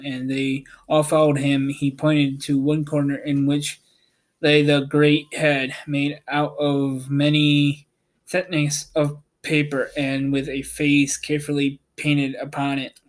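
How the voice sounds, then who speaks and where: A man's voice: a little high, completely flat delivery, a little slow. A man reading a children's storybook aloud as narrator. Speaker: audiobook reader and show host.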